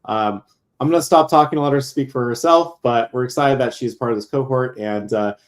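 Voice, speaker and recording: A man's voice: medium pitch, a little fast. A man hosting an online creator showcase, upbeat and loose, thanking speakers and introducing the next one with many fillers. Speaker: cohort host and moderator. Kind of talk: casual live event hosting.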